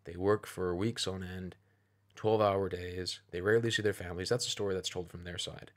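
Deep-voiced man giving measured commentary. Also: steady tone. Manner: measured, deliberate, persuasive phrasing. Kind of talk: opinionated video commentary.

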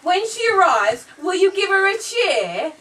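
A woman's voice: extremely high pitch, sing-song, a little slow, full character voice. A woman voicing a cheeky, chatty cat in a live puppet show, chummy and slangy with the audience. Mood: coaxing, excited. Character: cheeky chatty cat narrating her tale, longing to play. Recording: distant camera mic, noisy room.

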